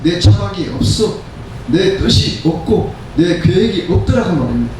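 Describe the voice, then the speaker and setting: Man in his seventies, pastor, emphatic sermon delivery, repeated phrases, mellow tone, heavily reverberant room. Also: high-pitched, big pitch swings, moderate pace. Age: 70s. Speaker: pastor preaching. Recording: very echoey room, distant mic, faint noise.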